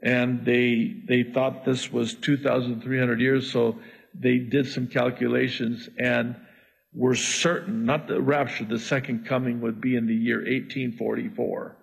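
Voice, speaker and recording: Middle-aged preacher guy, arabic his first language, husky voice, takes his time. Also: mid-range voice, measured pace, poor audio. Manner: animated, jumping from scripture reading to asides. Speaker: pastor. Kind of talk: expository sermon.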